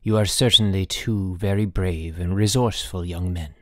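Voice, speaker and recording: Dignified ambassador for an audiobook, courteous, measured, close dry sound, performed by a man. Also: deep voice, steady tone, no echo, close to an everyday voice. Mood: solemn gratitude. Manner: formal, measured. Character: dignified, grateful, courtly foreign ambassador father. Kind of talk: audiobook character voice.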